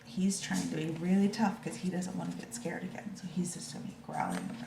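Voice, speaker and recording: A woman's voice: lowish voice, steady tone, fairly quick. Woman doing story time for kids, explains stuff simply, roomy echo, noise behind her. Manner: simple, child-directed explaining. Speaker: storyteller reading a picture book to children. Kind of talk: read-aloud with informal explanations. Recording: echoey room with clear background noise.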